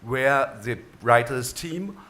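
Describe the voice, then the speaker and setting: A man's voice: medium pitch, pushed and bright. A Danish man who was a television drama chief, answering on a panel in careful, emphatic English with some unusual phrasing. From Denmark. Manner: deliberate, emphatic, with unusual word choices. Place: on stage before an audience.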